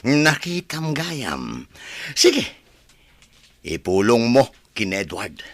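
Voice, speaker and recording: Man acting a raspy, forceful, scheming son. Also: medium pitch, wildly swooping pitch, extremely slow, no echo, exaggerated voice. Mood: smug, brazen. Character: resentful, scheming adopted adult son, brazen and menacing. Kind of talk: Ilocano radio drama acting.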